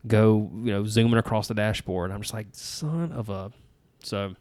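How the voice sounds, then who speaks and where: A man's voice: fairly low pitch, moderate pace, darkish tone, no room sound. A man, co-hosting a podcast in a folksy, rambling, self-deprecating way. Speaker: podcast co-host. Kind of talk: casual chat.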